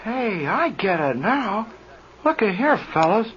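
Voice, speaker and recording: An actor playing an indignant, folksy airfield mechanic in a 1930s radio serial, full of colloquial slang and comic exasperation. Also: male voice, sits high, very animated, slow, fairly bright tone, full character voice. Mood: realizing, explaining. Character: folksy, indignant, slow-moving male chief airfield mechanic. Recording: muffled, noisy old radio recording.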